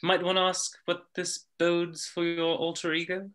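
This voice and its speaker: A man acts a devoted butler from an animated film script. The voice is crisp-edged, bright and a little high, with formal, polite, gently inquisitive phrasing. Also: measured pace. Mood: gentle concern.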